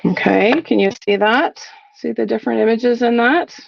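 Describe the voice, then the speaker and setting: A woman's voice: on the high side, normal speed, creaky, highs cut off, a little hiss or hum. A woman in her forties, a little sniffly, giving a chatty live crafting tutorial from her home craft room and thinking aloud as she works. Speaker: crafting demonstrator.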